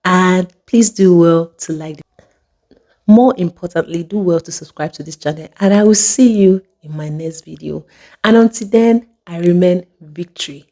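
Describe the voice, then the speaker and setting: Female motivational host with a soft, mellow tone, addressing viewers directly and driving points home with emphatic repetition. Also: lowish voice, normal speed. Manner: emphatic, repeats phrases for effect. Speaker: video host.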